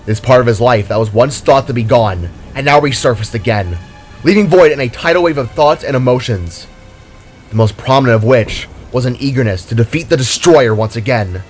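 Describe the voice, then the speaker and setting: A man's voice: mid-range voice, animated, a little fast, faint music behind. A man reading scripted dramatic narration as the narrator of a fantasy story.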